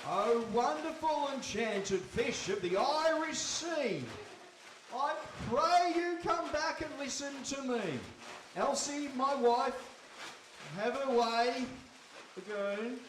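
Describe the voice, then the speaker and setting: A man acts a henpecked radio-play fisherman, high in voice and unhurried. He is flustered and hesitant, forever placating. The sound is roomy, picked up from a distance in a hall. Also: fairly bright tone, a little hiss or hum, full character voice. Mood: imploring. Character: meek, henpecked fisherman, reluctant husband. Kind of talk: live radio play acting. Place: hall stage before a live audience. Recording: echoey hall, distant microphone.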